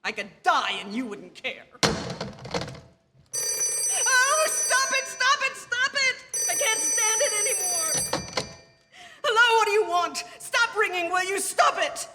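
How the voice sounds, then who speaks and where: A woman's voice: extremely high pitch, big pitch swings, measured pace, long pauses, heavily acted. A woman playing a nervous, demanding married woman in a theatrical radio suspense play, with breathless exclamations and frantic repetition. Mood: desperate, hysterical. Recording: live theatre stage, long reverb, noisy.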